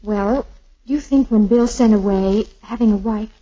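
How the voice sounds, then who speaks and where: An actress playing an anxious, devoted young bride-to-be in a 1940s radio drama, hesitant and pleading. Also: female voice, medium pitch, normal speed, warm tone, natural voice. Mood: worried, pleading. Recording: muffled old 1940s radio recording.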